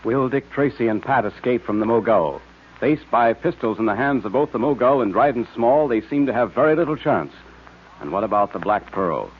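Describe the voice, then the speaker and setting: A man announcing and pitching for a sponsor on 1940s radio in a hearty, emphatic way. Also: expressive, moderate pace, background noise. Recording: muffled vintage radio recording.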